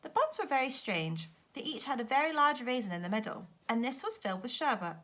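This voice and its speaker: A woman reads a children's story aloud like a primary teacher. Her voice is bright and clear-cut in tone, with highly animated pitch that follows the narration expressively. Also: brisk.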